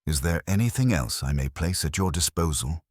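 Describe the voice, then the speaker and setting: A self-righteous treasurer played by a man reading a mystery story speaks with orotund formality. The voice is very deep and husky, serenely pompous, with a lively swing in pitch. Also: moderate pace, no room sound, studio quality.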